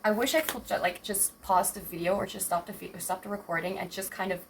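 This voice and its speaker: Rambling young woman, slightly raspy. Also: mid-range voice, fairly even intonation, brisk, background noise. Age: 20s. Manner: hesitant, rambling, self-interrupting with many fillers. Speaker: tarot reader. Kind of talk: rambling tarot reading.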